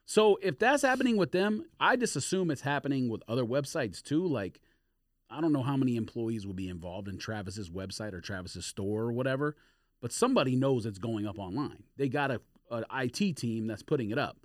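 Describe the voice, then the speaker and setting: A man's voice: medium pitch, animated, fairly quick, no room sound, clean studio sound. A man in casual podcast conversation, talking his reasoning through in an everyday way.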